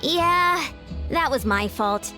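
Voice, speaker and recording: A woman's voice: high-pitched, animated, slow, sharp tone, soft background music, heavily acted. A woman playing an upbeat, supportive fitness coach in a video game, frank and instructive in how she talks. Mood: explaining, proud. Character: upbeat, encouraging young female fitness coach. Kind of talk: video game character acting.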